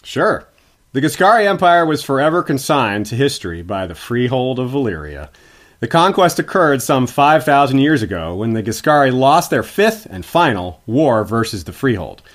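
A man's voice: medium pitch, very animated, normal speed. A man speaking as a guest history expert, giving scripted, articulate explanations in an informative lecturing manner.

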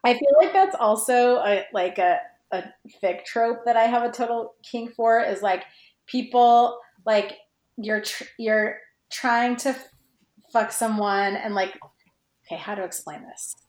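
A woman chatting informally about fan fiction, halting and restarting, with "like" scattered all through her sentences. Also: a little high, darkish tone. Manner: halting, informal, heavy use of "like". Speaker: podcast guest, fan fiction writer. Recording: roomy, echoey sound.

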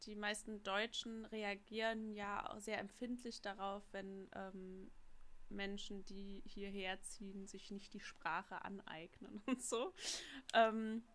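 Woman podcast interviewer, even mid voice, asks and nods along. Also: medium pitch, takes it slowly. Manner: asks questions, brief agreeing replies. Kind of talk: casual interview.

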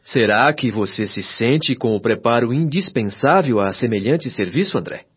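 An actor playing a kindly young spirit helper in a radio drama, explaining things in formal language. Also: male voice, medium pitch, animated, moderate pace, slight background noise, close to an everyday voice. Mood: instructive, gentle. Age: young adult. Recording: dull lo-fi radio drama recording.

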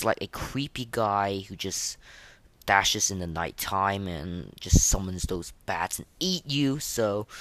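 A man hosting a casual reaction podcast, rating things in an informal, rambling way with a lot of halting fillers. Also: fairly low pitch, no echo. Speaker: podcast host. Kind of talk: casual reaction commentary, rating game voice lines.

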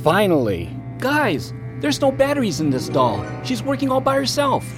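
An actor playing a naive, excitable everyday guy in an audio drama, talking naturally to his friends. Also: very high voice, moderate pace, music in the background, full character voice. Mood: excited, alarmed.

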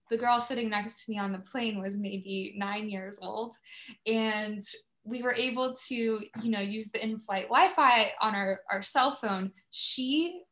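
A woman's voice: mid-range voice, a bit bright. A woman hosting a podcast, speaking in a conversational interview style. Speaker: podcast host.

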